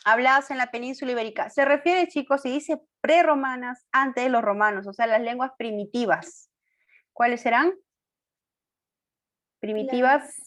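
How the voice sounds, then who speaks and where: A woman's voice: on the high side, normal speed, a bit bright. A woman teacher going over quiz answers with her students in an online class, coaxing them with questions, affirming with short fillers and correcting her own slips. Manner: corrects herself aloud, apologetic.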